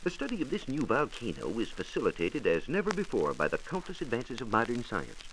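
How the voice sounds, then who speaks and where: A man's voice: medium pitch, wildly swooping pitch, a little fast, fairly bright tone. An American man giving a formal, precise scripted science explanation as a 1940s radio reporter. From United States. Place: radio studio. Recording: noisy vintage 1940s radio transcription disc.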